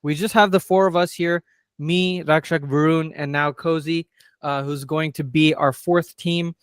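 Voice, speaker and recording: Brief: twenties male host, slightly higher voice, dry close sound, upbeat. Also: fairly even intonation, measured pace, clear, resonant tone, dry recording. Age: 20s. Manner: upbeat, runs the segments with fillers. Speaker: podcast host. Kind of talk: casual sports chat.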